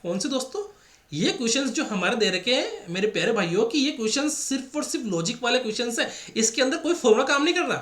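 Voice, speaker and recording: A man's voice: extremely high pitch, very animated, fast talker, fairly bright tone. A male math teacher with a Rajasthani Hindi accent, running an exam-prep lesson and repeating each step with rhetorical questions to the class. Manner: repetitive, drills points with rhetorical questions to the class. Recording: echoey room.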